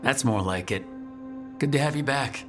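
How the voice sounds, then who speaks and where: An actor playing a steady, encouraging leader of a band of heroes in a video game. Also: male voice, medium pitch, normal speed, over music, natural voice.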